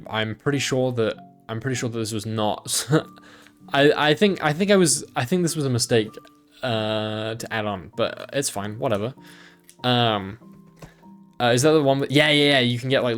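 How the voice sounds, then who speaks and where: A young man talks loosely over his own stream, hedging and restarting his sentences as he goes. His voice sits in a middle pitch. Faint background music plays under him. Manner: rambling, many ums and restarts. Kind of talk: casual livestream chat.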